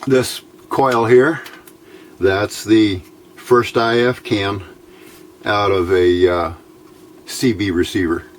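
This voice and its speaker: A man with a fairly low voice presents an informal hands-on electronics tutorial. He speaks very slowly and haltingly, thinking aloud as he goes. There is some faint noise behind him. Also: fairly even intonation. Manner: halting, thinking aloud while handling parts.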